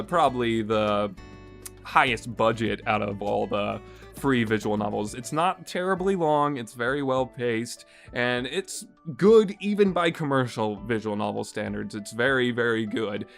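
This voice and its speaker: A man's voice: medium pitch, vocal fry, a bit bright. A man hosting a casual review podcast, talking in a rambling way with frequent fillers. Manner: rambling, many "uh" and "you know" fillers. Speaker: podcast host reviewing games.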